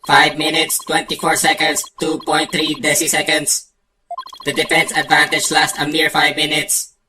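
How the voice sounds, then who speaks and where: A woman's voice: sits low, little pitch movement, normal speed, echoey room, distant mic, background noise, close to an everyday voice. A woman voicing a smug, condescending male bomb disposal expert, pompous and precise, in an amateur game dub. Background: game music.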